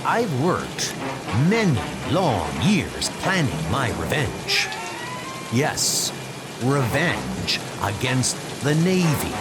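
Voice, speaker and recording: Colonel villain, acted by a man: bitter, pompous schemer, slow and swooping, loud music underneath. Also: mid-range voice, huge pitch swings, exaggerated voice. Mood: brooding, menacing. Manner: pompous, theatrical villain monologue. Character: Colonel Blimp, bitter scheming male villain seeking revenge. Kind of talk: comic dub acting.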